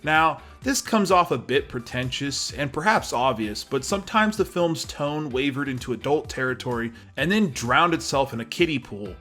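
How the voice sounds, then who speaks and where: A man's voice: medium pitch, lively intonation, moderate pace, few pauses, sharp tone. Man narrating a film review, music underneath. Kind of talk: scripted video review narration.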